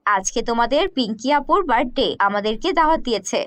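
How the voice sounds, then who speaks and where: Firm but caring mum acted by a woman, lower than the cartoon's girls, dry close recording. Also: expressive, quick pace, fairly bright tone, no echo. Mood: coaxing.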